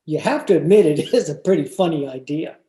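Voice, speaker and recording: A woman's voice: sits low, expressive, brisk, dark tone. Woman acting echoey, swooping, amused sitcom friend. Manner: exaggerated, giggly sitcom delivery. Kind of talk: old-time radio sitcom acting. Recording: echoey room over video call.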